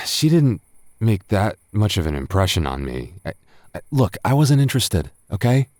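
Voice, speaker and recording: A low, close and dry voice: a man playing a tipsy young male roommate in a boyfriend roleplay audio. He talks casually but stammers and gets defensive, hesitating as he hides his crush. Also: very animated, moderate pace, a little mellow, no echo. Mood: flustered, defensive.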